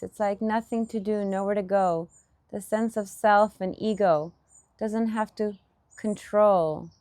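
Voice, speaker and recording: A woman leading a guided meditation as a teacher, giving gentle instruction in short, unhurried phrases with pauses between them. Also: medium pitch, no echo.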